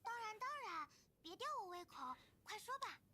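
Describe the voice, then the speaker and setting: A woman voicing a tiny, eager, bossy fairy girl in a video game, with a squeaky childlike voice. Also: big pitch swings, moderate pace, pushed and bright, full character voice. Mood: eager, impatient. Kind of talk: cute video game voice acting.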